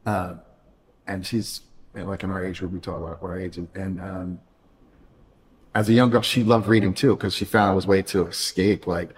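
A man's voice: low voice, steady tone, normal speed, long reverb tail. A man hosting a podcast interview, chatting casually with many fillers and jokey asides. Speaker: podcast host.

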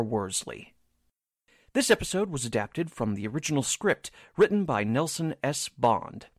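Man, radio drama announcer, scripted delivery, lively pitch movement, dry studio-like sound. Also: dry recording.

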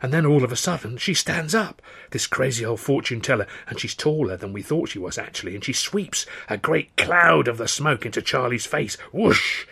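A man voicing an excitable working-class storyteller spinning a yarn, with a British accent and a colloquial, dramatic delivery. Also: mid-range voice, moderate pace, gravelly, few pauses, husky, heavily acted. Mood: astonished.

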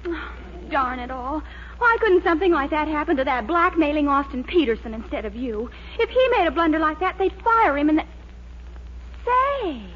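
A dull, slightly hissy old radio recording features an eager young sweetheart full of schemes. Her voice is extremely high and girlish, with lively swings in the style of 1940s situation comedy. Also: moderate pace. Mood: eager scheming. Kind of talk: 1940s radio sitcom acting.

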